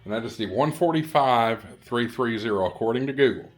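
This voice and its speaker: A man's voice: medium pitch, lively intonation, brisk. Man, casual vlogger, easygoing, hesitant, repetitive phrasing, hotel room, handheld camera. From American South. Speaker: vlogger and ham radio YouTuber. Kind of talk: casual vlog chat.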